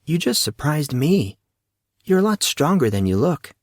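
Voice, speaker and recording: Man voicing a guarded teenage girl, lightly raised pitch. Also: very animated, normal speed, no echo, studio quality. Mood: calm. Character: guarded teenage girl, light-toned, calming, a little nervous. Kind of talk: audio drama character dialogue.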